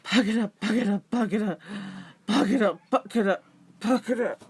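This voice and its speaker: A woman's voice: medium pitch, moderate pace, husky. Lady as a tiny brave possum in a kids folktale, puffing away, determined little warrior. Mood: breathless, determined. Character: small fearless possum warrior, puffing along breathlessly.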